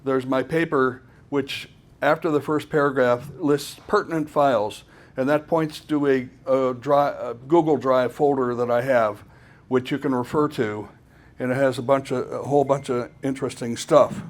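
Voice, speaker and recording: A man presenting an informal technical talk at a conference, speaking haltingly and often repeating words as he goes. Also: medium pitch, steady tone.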